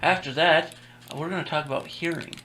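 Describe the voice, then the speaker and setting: A man's voice: on the high side, fairly even intonation, fairly quick, sharp tone, rough recording. A young man hosting a radio show, chatting casually and posing probing rhetorical questions. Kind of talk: casual on-air chat.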